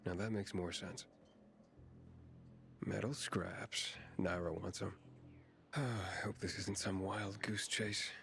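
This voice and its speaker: A man's voice: deep voice, little pitch movement, takes it slowly, gravelly, pauses often, fairly bright tone, a little hiss or hum, full character voice. A man acting a blunt, wry young survivor in a video game cutscene. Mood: sceptical. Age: young adult.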